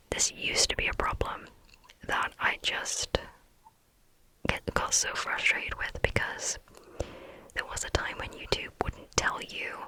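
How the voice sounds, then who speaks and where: A woman answering viewer questions in a frank, chatty ASMR whisper, often pausing on "um". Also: bright, forceful tone, poor audio. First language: English.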